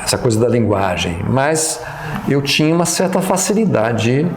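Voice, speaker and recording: A man's voice: medium pitch, big pitch swings, normal speed, roomy sound, background noise. A middle-aged man with a Brazilian Portuguese accent, a pastor and professor recalling his past in an interview, talking hesitantly with many fillers and restarts. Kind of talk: reminiscing interview.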